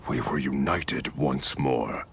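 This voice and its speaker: A man's voice: rumbling low, creaky, slight background noise, full character voice. An actor playing a hardened, terse Viking raider, grimly telling his war story in a video game. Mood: grim resolve. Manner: terse, grim, deliberate. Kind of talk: dramatic game narration. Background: loud cinematic music.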